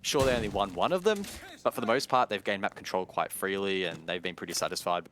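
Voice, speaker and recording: A man doing live play-by-play commentary on an esports match, analytical and excitable on key plays. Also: low voice, quick pace, slight background noise.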